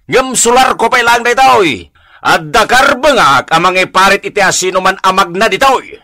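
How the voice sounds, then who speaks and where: Cantankerous old Ilocano man, loud scolder, sharp high voice, radio comedy drama. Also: animated, normal speed, no echo, clean studio sound, full character voice. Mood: irritated, scolding. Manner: scolding, blustering, abrupt.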